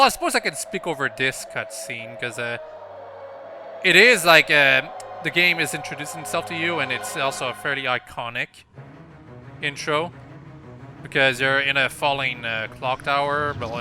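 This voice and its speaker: A man's voice: medium pitch, normal speed, music in the background. A man hosting a gameplay video with casual, unscripted commentary in a rambling, explanatory way. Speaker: gaming channel host.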